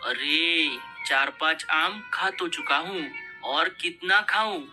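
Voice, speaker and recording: A man's voice: on the high side, slow pace, music in the background. A man voicing a grumbling, overfed bird husband in a children's cartoon, complaining as he talks. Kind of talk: Hindi children's cartoon dialogue.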